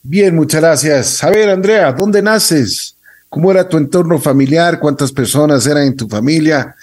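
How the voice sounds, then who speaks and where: A man's voice: a little high, normal speed, a little mellow. A man hosting a conversational interview show.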